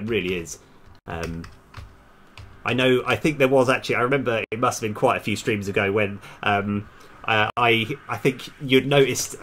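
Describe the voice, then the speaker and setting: A man's voice: mid-range voice, fairly bright tone, a little hiss or hum. A man chatting casually on a live coding stream, hesitant as he thinks aloud and trails off. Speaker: live-streaming game developer. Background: music, keyboard typing.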